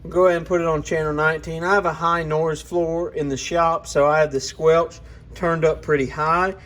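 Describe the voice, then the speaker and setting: A man's voice: fairly high pitch, flat delivery, normal speed, a bit bright. A man hosting a casual hands-on product walkaround, talking in a plainspoken, informal, explanatory way. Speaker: product reviewer and channel host.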